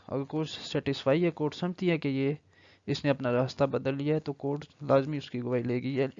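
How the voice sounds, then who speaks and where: A man's voice: on the high side, a little mellow, no echo. A man with a Pakistani accent gives an online law lecture, reading out text and explaining it as he switches between Urdu and English. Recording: dull lo-fi microphone sound.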